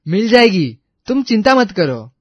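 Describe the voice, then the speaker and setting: Man acting a high-pitched devoted young boyfriend. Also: sing-song, a little slow, dry recording, lo-fi sound, heavily acted. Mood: urgent, excited. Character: devoted, responsible young man in love, earnest graduate.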